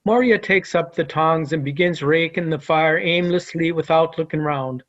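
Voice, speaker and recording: Casting: male narrator reading stage directions, slightly high pitch, muffled online sound. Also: normal speed, a bit bright. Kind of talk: scripted narration.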